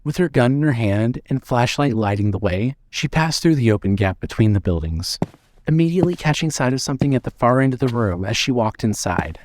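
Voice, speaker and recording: A man reading scripted narration for an audio drama in a measured way. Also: medium pitch, lively intonation, a little mellow, no room sound.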